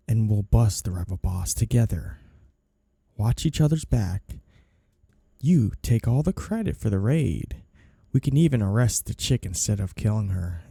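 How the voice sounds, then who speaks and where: A man acts out a suave, cunning mafia boss in an intimate audio drama. The voice is very dark and mellow with a gravelly creak, swinging expressively as he teases and gives orders. It is recorded close and dry. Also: fairly low pitch, no echo, heavily acted. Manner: smooth, teasing, deliberate, smugly commanding. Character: suave flirty two-faced mafia boss, cunning and controlling. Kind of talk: intimate ASMR audio roleplay.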